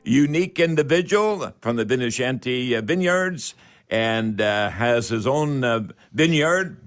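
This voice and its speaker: A man's voice: medium pitch, fairly bright tone. A man hosting a live call-in radio show in a folksy, repetitive patter.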